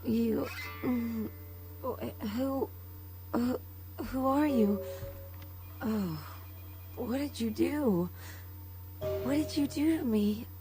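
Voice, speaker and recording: A badly hurt, bewildered young woman in a video game. Her speech is very slow and faltering, in a fairly high voice. Music plays behind her. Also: rough recording. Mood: dazed, bewildered. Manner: faltering, stammering.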